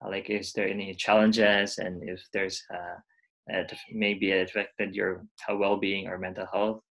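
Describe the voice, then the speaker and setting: A man's voice: deep voice, flat delivery, moderate pace, vocal fry, a bit bright, low-quality recording. A young man hosting a video call panel, asking long questions full of uhs and likes over wired earphones. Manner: hesitant, filler-heavy questions. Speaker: host. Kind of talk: casual interview moderating.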